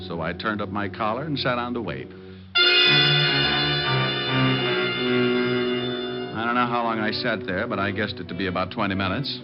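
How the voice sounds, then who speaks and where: An actor playing a cocky, wisecracking private detective in an old-time radio drama, with a dry, sardonic delivery. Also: male voice, on the low side, fast, close to an everyday voice. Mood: dry, wry. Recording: muffled old radio recording with music.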